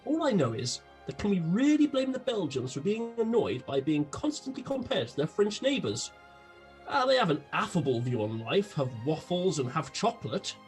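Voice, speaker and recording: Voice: medium pitch, big pitch swings, normal speed, faint music behind, lightly acted. A performer playing an eccentric, gluttonous, unhinged detective in a comic radio play, grandiloquent, with a British accent. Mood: pompous, rambling. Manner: grandiloquent, theatrical.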